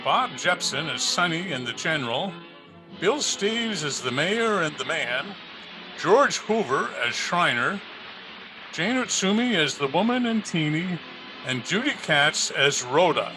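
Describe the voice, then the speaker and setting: A male announcer reads scripted credits. His voice is fairly high and lively in pitch, with clear music playing behind him. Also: a little slow, rough recording.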